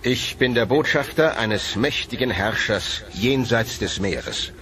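A man's voice: medium pitch, animated, slow pace, a bit bright, slight background noise. A man voicing a Spanish conqueror acting as envoy, speaking in a formal, solemn manner in a documentary. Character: male Spanish conqueror addressing a king as envoy. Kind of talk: documentary voice-over reenactment.

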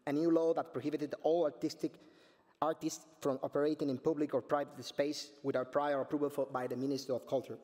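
A Cuban man in his forties with a Spanish accent, reading a prepared speech from a podium in an earnest, measured way and restarting words now and then. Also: a little high, a little mellow. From Cuba. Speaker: panel speaker, artist. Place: conference stage at a podium. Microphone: podium microphone. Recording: long hall reverb.

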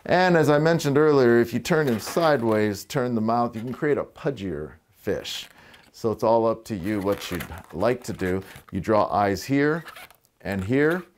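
A middle-aged man giving a relaxed, chatty balloon-twisting lesson in a living room, with wry asides and a lapel mic. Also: medium pitch, measured pace, a little hiss or hum. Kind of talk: casual tutorial demonstration. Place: living room with fireplace, indoors.

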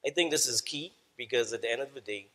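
A man's voice: mid-range voice, fast talker, sharp tone. A Bahamian man speaking on a conference panel on stage in a casual way, often saying "uh" and "um". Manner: casual, frequent "uh" and "um". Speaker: panelist, company founder. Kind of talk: panel presentation.

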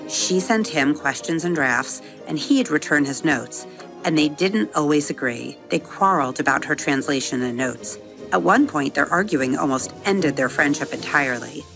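Woman narrator for a kids' bedtime story: low voice, expressive reading that slows on key words, music bed underneath. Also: creaky. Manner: expressive, slowing and softening on vivid words. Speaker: storybook narrator and episode host. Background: soft music under the reading.

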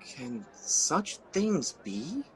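A man's voice: fairly high pitch, sing-song, very slow, exaggerated voice, rough recording. A man playing Macbeth, a fearful, haunted king, in a radio drama with an intense, shaken delivery.

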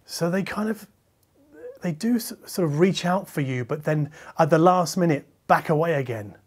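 A middle-aged man, a reviewer talking conversationally to camera in a measured, explanatory way, qualifying his points as he goes. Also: on the high side, animated, slightly rough. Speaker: hi-fi reviewer and video host. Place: medium-sized living room listening space, indoors.

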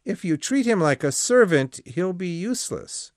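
A man voicing a cautious older priest in an audiobook, earnest and raised in pitch. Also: big pitch swings, normal speed, dry recording, studio quality.

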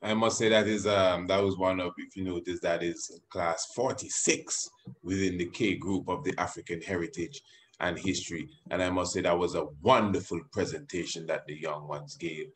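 A man's voice: deep voice, moderate pace. A man presenting a homeschooling program in informal promotional commentary, often repeating and rephrasing himself. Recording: faintly noisy voice recording.

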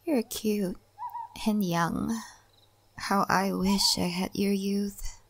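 A woman playing an ancient, wise and cryptic spirit-realm lady in a soft, breathy ASMR roleplay. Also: medium pitch, fairly even intonation, slight background noise. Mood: gentle, knowing. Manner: soft, breathy, often whispering, unhurried.

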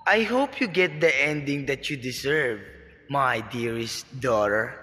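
A man's voice: mid-range voice, expressive, unhurried, pushed and bright, faint music behind. A man playing a cold, haughty, sexist company-owning father in a radio drama, scornful and pompous, in long echoing reverb. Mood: contemptuous.

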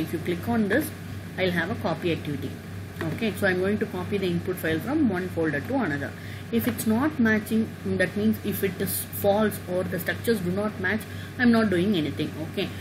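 A woman teaching a software tutorial over a screen recording, talking haltingly with many "uh" and "okay" fillers. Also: lowish voice, noisy background. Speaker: tutorial instructor.

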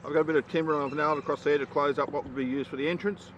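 A man's voice: fairly high pitch, racing. A man, a hobby beekeeper talking casually with frequent ums as he works his hives in a backyard. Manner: relaxed, chatty, frequent ums while working. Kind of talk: casual outdoor how-to vlog. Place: backyard apiary outdoors. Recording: slightly dull outdoor camera audio with faint noise. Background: neighbour's lawnmower.

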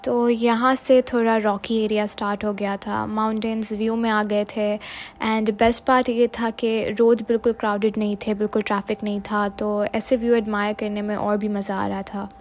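A woman's voice: medium pitch, flat delivery, moderate pace, a little hiss or hum. A woman vlogger giving a casual voice-over narration, often switching between two languages mid-sentence. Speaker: travel vlogger narrating a road trip.

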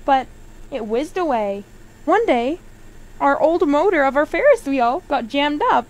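A woman's voice: high-pitched, animated, unhurried, low-quality recording. A woman voicing a firm, complaining fairground manager who explains things apologetically in a storybook reading. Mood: firm, exasperated.

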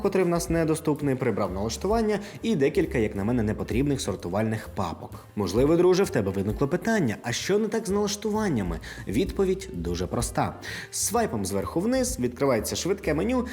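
A man's voice: medium pitch, darkish tone. A man from Ukraine hosting a casual scripted product review, talking conversationally to the viewer like a friend. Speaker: tech reviewer and video host.

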